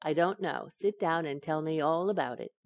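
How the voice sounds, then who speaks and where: Radio sitcom wife: woman actor, coy wisecracker, lowish voice, close dry sound, teasing delivery. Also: moderate pace, no room sound. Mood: affectionate. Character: wisecracking, coy, affectionate young housewife. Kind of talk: old-time radio sitcom acting.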